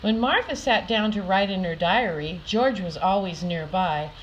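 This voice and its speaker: An older woman reading a children's picture book aloud with deliberate, dramatic emphasis for young listeners. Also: mid-range voice, highs cut off, slight background noise. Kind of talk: expressive children's story read-aloud.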